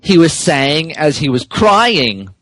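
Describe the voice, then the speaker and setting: A man co-hosting a podcast, reading fan fiction aloud with deliberate mock seriousness. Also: fairly high pitch, big pitch swings, a bit bright, no room sound. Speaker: podcast co-host narrating a story.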